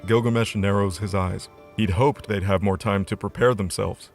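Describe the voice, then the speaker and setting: Male narrator, lowish register, scripted fantasy storytelling, prominent music bed. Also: steady tone, moderate pace. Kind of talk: scripted audio drama narration.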